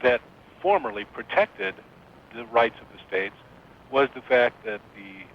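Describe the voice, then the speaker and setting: A man's voice: big pitch swings, measured pace, vocal fry. Male radio caller on noisy dull line. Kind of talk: conversational political discussion. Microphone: phone. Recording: noisy dull call-in line, low rumble.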